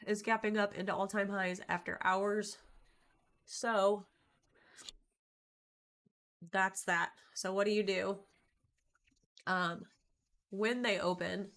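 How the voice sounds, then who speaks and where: A woman with a stuffy nose from allergies, giving a casual trading lesson in a chatty, informal way with frequent ums and throat clearing. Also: mid-range voice, fairly bright tone. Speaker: stock trading educator. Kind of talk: casual chart-analysis lesson.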